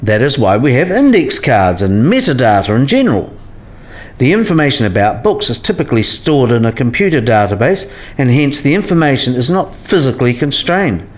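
A learned professor in a radio play, acted by a man, philosophical and patient like a mentor. His voice sits at a middle pitch and rises and falls animatedly as he lectures in scripted dialogue. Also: big pitch swings, a little fast, lightly acted. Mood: explaining.

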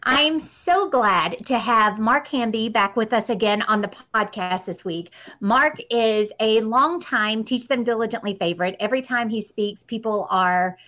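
A woman's voice: on the high side, unhurried, hardly any pauses, bright, forceful tone, dull audio. A woman speaking as a podcast host, introducing the show and interviewing a guest.

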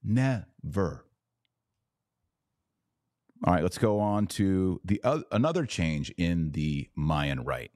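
A man's voice: low-pitched, sing-song, slow pace, long pauses, a little mellow, no echo, clean studio sound. A man hosting a livestreamed commentary podcast, talking emphatically with sarcastic, mocking asides. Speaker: podcast host.

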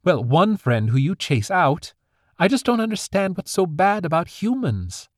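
Squeaky, spirited young fairy girl with a rebellious streak, storybook reading, as a man puts her on; high and very lively, close and dry. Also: measured pace, no room sound, full character voice. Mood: angry.

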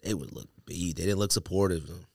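A man's voice: low-pitched, fairly even intonation, brisk, darkish tone, husky, no echo, studio quality. An American man hosting a casual sneaker podcast, talking in slangy, opinionated banter and repeating himself for emphasis. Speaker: podcast host.

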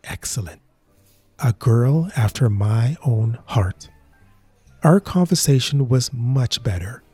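A man tells the story slowly and carefully. His voice is husky, and his pitch rises and falls a lot. Soft music plays behind him. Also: expressive, no room sound. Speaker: narrator and lead character. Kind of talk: scripted narration in a short drama.

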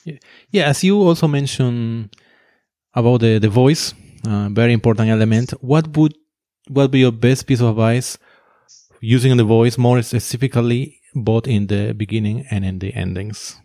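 Mellow-voiced male interviewer. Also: mid-range voice, normal speed, no echo, studio quality. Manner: brief affirmations, hesitant questions with fillers. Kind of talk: interview questions.

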